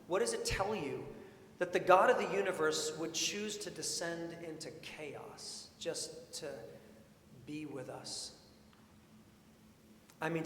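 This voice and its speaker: A man preaching a sermon in an informal, expressive way, with storytelling and questions repeated for emphasis. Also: fairly high pitch. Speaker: preacher, priest. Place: large indoor church sanctuary. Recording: echoey church hall, long reverb, faint noise.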